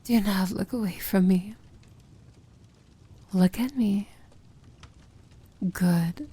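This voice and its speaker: A woman acts out a possessive princess of evil in an intimate fantasy ASMR roleplay. Her voice is breathy and dark with a creaky edge, often near a whisper. She commands and adores in the same deliberate, tender way. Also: medium pitch. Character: feared demon-like villain princess, possessive, tender, in love.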